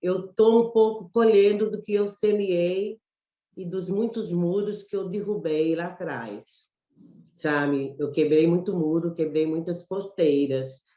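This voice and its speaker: A woman from Mato Grosso with a Mato Grosso Brazilian accent, an actress telling her story in an interview, reflective and halting, often restarting phrases. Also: fairly low pitch, dark tone, echoey room, dull audio. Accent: Mato Grosso Brazilian Portuguese. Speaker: actress and guest.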